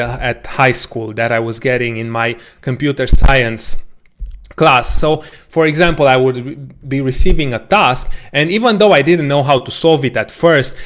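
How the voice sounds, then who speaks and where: A man whose first language is Romanian, telling a casual personal story to camera as a coding educator, with a relaxed, self-deprecating manner. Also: mid-range voice. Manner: relaxed, self-deprecating, frequent restarts and small laughs.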